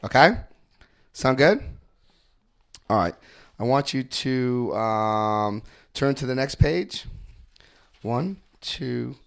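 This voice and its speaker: A man's voice: medium pitch, animated, very slow, creaky, long pauses. A man from New Jersey with a New Jersey accent, leading a recovery group through a book with jokes and stories and checking in with his listeners. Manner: joking, storytelling, checks in often with the group. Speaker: recovery workshop speaker.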